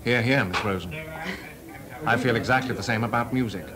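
An actor playing a witty young composer in a 1980s radio drama, talking dryly and ironically. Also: male voice, medium pitch, a little fast, close to an everyday voice. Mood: wry. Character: composer Delius, young man, wry and witty. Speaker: lead actor. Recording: party crowd behind.

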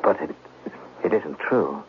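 A mannered schemer, dramatically animated. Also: male voice, very deep voice, takes it slowly, heavily acted. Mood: flustered. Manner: mannered, articulate, evasive. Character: scheming, cultured gambler plotting murder, feigning bewildered innocence. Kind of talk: 1970s radio drama acting. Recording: muffled, noisy vintage radio recording.

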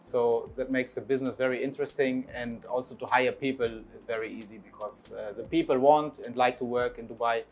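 A man in his thirties, a restaurant partner, answering interview questions with many pauses and fillers, recorded on a lapel mic in a restaurant. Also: medium pitch, fairly even intonation, slight background noise. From Portugal, raised in Germany. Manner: hesitant, many ums and uhs. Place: restaurant dining area, indoors.

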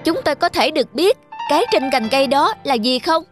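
A woman voicing a greedy, gullible, hot-tempered monkey in a children's cartoon, excitable in manner. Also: high-pitched, fairly quick. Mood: eager. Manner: eager, excitable. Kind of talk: children's cartoon fable dialogue. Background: background music.

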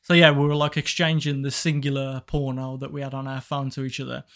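A man's voice: medium pitch, little pitch movement, fairly quick, a bit bright. A young man, co-hosting a casual podcast in a small home studio and telling crude stories in a laid-back way. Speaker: podcast co-host. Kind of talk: casual chat. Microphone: studio mic on boom arm, headphones.

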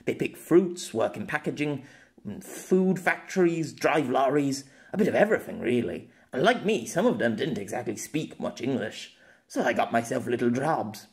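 A man's voice: medium pitch, gravelly. A man playing an awkward, good-natured male storyteller explaining himself in an audiobook, hesitant and rambling.

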